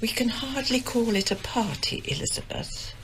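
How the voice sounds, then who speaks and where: A woman plays a genteel lady in a radio mystery. Her high voice is measured yet very lively in its rise and fall, gently correcting, with faint noise behind it. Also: rough recording. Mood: gently reproving. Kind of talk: radio drama acting.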